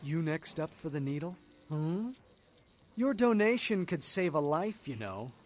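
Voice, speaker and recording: A sly, streetwise blood bank clerk from a video game. His fairly high voice swoops up and down as he insinuates and needles with sardonic theatricality. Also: big pitch swings, unhurried, faint music behind. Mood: sly, teasing. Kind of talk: video game character acting.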